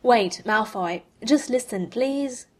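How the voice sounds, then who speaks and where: A woman's voice: a little high, slow. A woman reading aloud as an earnest young male wizard, keeping a mild and pleading tone.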